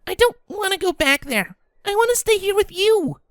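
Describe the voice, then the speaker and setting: A woman's voice: very high voice, big pitch swings, moderate pace, no room sound, studio quality. A woman voicing a distraught young pony filly, pleading and emotional, in a dramatic audio story. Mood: pleading, eager.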